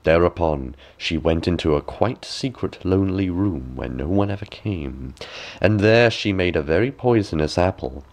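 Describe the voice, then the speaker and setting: A man's voice: low voice, animated, normal speed. A man reading a fairy tale as a bedtime story, with dramatic, careful phrasing.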